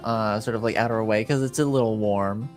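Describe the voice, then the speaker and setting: A man improvising narration as a game master, speaking haltingly as he searches for words. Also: on the low side, steady tone, soft background music.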